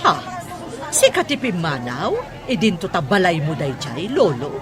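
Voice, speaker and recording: A woman's voice: on the low side, very animated, measured pace, a crowd in the background. A woman playing a concerned schoolteacher in a drama. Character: concerned, animated female schoolteacher. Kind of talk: radio-style drama dialogue.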